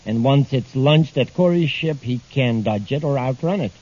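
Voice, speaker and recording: A man's voice: medium pitch, animated, moderate pace, darkish tone, natural voice. A man playing a confident, coldly menacing space villain in a 1950s radio serial, plotting in a sly, assured manner. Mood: scheming. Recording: muffled old radio recording, background noise.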